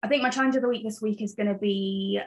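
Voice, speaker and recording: Young woman podcast host, late twenties, from Zambia and England, flat delivery, loose chatty manner. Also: medium pitch, fast talker. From Zambia, raised in England. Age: 20s. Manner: chatty, rambling, with fillers. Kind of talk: casual solo podcast chat.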